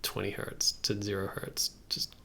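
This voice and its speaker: A man's voice: lowish voice, completely flat delivery, a little slow, pushed and bright, whispered. A man in his thirties talking casually and hesitantly, thinking aloud as he works on a music production livestream. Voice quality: gravelly, creaky. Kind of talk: casual livestream commentary while working. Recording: faint noise, keyboard and mouse clicks.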